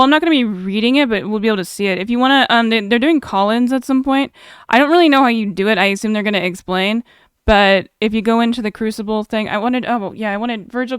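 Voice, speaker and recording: A woman's voice: on the high side, smooth, dry recording, clean studio sound. A young woman streamer chatting casually, reading chat aloud and laughing often.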